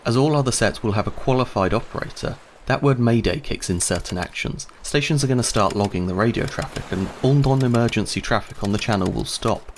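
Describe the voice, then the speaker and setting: A man's voice: medium pitch, fairly quick, hardly any pauses, slight background noise. A man giving a scripted instructional narration, explaining step by step in a conversational way.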